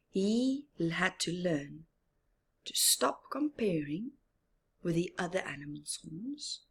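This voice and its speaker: A woman reading a picture book aloud expressively for young children, pausing for asides to her listeners. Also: fairly low pitch, vocal fry, breathy edge. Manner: slow, deliberate storyteller addressing small children. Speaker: children's story reader.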